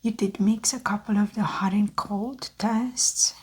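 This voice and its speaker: Soft spoken asmr lady being a doctor, breathy almost whispery, gentle, normal pitch. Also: steady tone. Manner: gentle, unhurried clinical advice-giving. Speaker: doctor in a medical exam roleplay.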